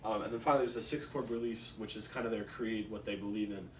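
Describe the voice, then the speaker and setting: A man presents a research report informally, with loose, casual phrasing. His voice sits a bit low. He is recorded at a distance in an echoey room with some faint noise behind him. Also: steady tone, fast. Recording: roomy, distant mic, faint background noise.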